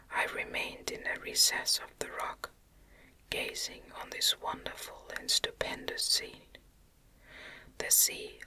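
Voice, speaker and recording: A woman reading a gothic novel as ASMR in a gentle, breathy, unvoiced whisper, phrase by deliberate phrase. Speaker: ASMR reader.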